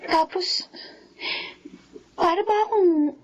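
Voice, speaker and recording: High-voiced lovelorn young woman. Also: takes it slowly, a little mellow, highs cut off. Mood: anxious. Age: young adult. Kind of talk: emotional radio drama acting and first-person narration. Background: background music.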